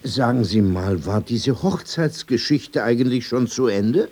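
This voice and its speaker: A man's voice: mid-range voice, animated, unhurried, a little mellow, husky. An actor playing a world-weary, prickly old man in a radio drama, addressing someone formally with probing questions and sudden turns to reminiscence. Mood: dry, challenging. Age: older.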